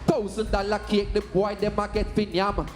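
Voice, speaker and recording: High, flat-pitched Jamaican man. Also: moderate pace, soft background music.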